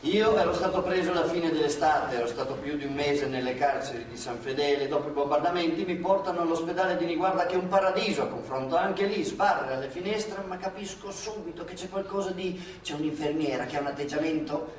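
An actor playing an older former partisan man, recalling his youth with earnest, measured storytelling on stage. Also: fairly high pitch, a little hiss or hum, lightly acted. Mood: recounting. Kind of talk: stage monologue in a radio play. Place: theatre stage. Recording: very echoey stage from far off.

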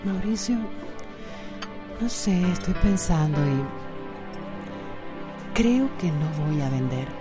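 A worried Costa Rican woman in a radio soap. Her voice is on the low side and slow, halting over repeated words as music plays behind her. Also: expressive. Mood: hesitant resolve. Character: troubled, bold woman worried for her neighbour. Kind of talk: radio drama acting.

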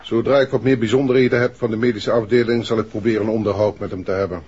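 A man's voice: fairly low pitch, steady tone, fast talker, a little mellow, slightly rough. An actor playing a businesslike, probing male police inspector in a 1960s Dutch radio drama. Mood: matter-of-fact. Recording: dull, muffled 1960s radio recording.

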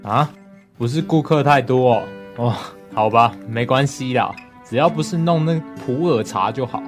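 An actor playing a concerned, gently teasing older male friend in a radio drama, casual and inquisitive. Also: medium pitch, moderate pace, faint music behind, close to an everyday voice.

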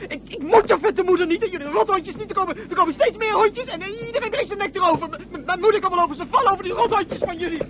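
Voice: very high-pitched, fast talker, hardly any pauses, noisy background. An actor in a radio drama playing a young boy, insistent and questioning, often stammering. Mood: furious. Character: David, young boy pleading about his departing mother.

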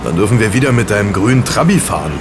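An actor in an audio drama playing a grateful, eager young investigator with an enthusiastic manner. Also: male voice, fairly low pitch, quick pace, natural voice. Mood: eager, cheerful. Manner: animated, enthusiastic. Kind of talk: German audio drama dialogue. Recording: clear street and traffic ambience. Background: outdoor city street with vehicles.

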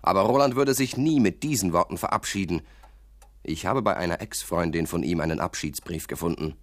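A man's voice: low-pitched, a little fast, no room sound, close to an everyday voice. An actor playing an earnest, worried young male boxer in radio play dialogue, speaking in a matter-of-fact way. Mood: earnest, insistent.

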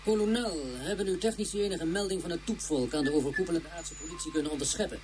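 A woman playing a cool, calculating senior mission commander in a radio drama, measured and formal. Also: fairly low pitch, a little mellow, soft background music, natural voice.

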